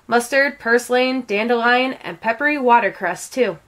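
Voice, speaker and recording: A woman with a fairly high voice reads a picture book aloud. Her delivery is measured and expressive, shaped as storytelling for children. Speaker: read-aloud reader.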